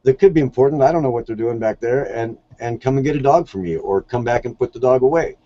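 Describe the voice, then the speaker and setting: A man's voice: medium pitch, fast talker, mellow, dry recording, muffled recording. A man talking casually as an interview guest, telling stories in a plain-spoken, earnest way. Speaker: podcast guest, shelter dog volunteer.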